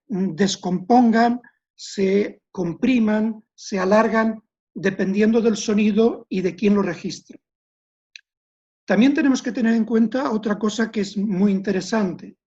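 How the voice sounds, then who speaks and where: A woman giving an explanatory history lecture as a webinar guest, speaking in a measured way with pauses and restarted words. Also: mid-range voice, dark tone, dull audio. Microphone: computer mic on a video call.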